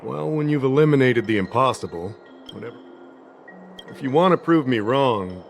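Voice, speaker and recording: An actor voicing a brisk, skeptical male investigator in a video game, pointed and deductive. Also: medium pitch, lively intonation, soft background music, lightly acted. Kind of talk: acted video game dialogue.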